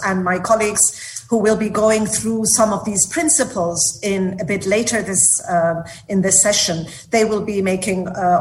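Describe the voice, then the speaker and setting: A woman department director giving a measured formal introduction at a meeting, with frequent fillers. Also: mid-range voice, a little hiss or hum, rough recording.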